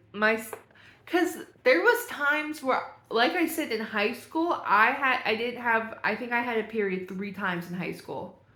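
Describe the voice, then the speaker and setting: A woman's voice: medium pitch, fairly bright tone, roomy sound. A young woman vlogger chatting casually and thinking aloud as she reads through a quiz, laughing at herself now and then. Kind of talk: casual talk while reading a quiz aloud.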